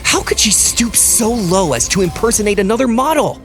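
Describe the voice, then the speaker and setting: A woman's voice: medium pitch, animated, normal speed, soft background music, natural voice. A woman playing scandalised bystanders in a dramatised audio story, exclaiming and questioning. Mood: accusing. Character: dramatic, scandalised women: stylist and prying reporter.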